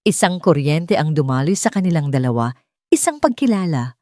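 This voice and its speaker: A woman's voice: deep voice, very animated, moderate pace, dark tone, dry recording, clean studio sound. A woman with a Filipino accent reading a dramatic story as an audiobook narrator.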